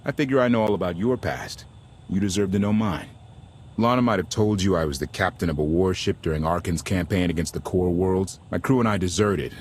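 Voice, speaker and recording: Actor as low-voiced, earnest rebel captain. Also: male voice, slight background noise, lightly acted. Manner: casual, earnest. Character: male deserter warship captain, earnest, optimistic, idealistic rebel. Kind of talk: video game voice acting.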